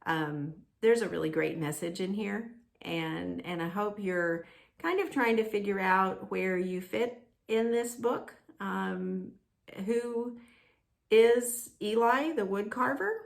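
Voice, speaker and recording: A woman storyteller for a children's ministry, gently narrating a storybook and talking to young listeners. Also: mid-range voice, slow pace, creaky.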